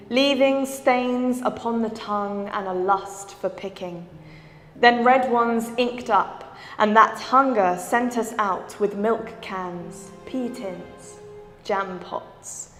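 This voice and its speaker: A woman recites poetry at an extremely slow, deliberate pace. Each phrase is set apart and hangs in a reverberant, echoing hall. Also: mid-range voice, fairly bright tone. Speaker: poetry reader. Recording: echoey hall with long reverb.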